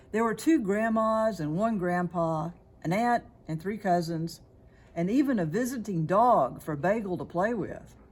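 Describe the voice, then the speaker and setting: A woman reading a children's story aloud in an expressive, scripted narrating style. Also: on the low side. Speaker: storybook reader.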